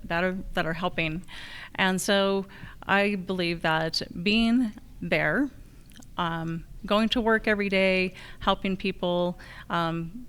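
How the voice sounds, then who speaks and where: An American woman gives a candidate's answer at a public forum. Her voice sits a bit low and sounds clear and smooth. She is earnest, often halting and circling back over her points. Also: a bit bright. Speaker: political candidate.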